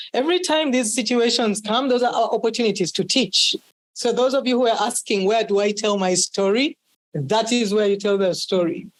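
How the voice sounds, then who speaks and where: A woman's voice: a little high, poor audio. A woman facilitating a workshop, explaining things in an emphatic, instructive and encouraging way.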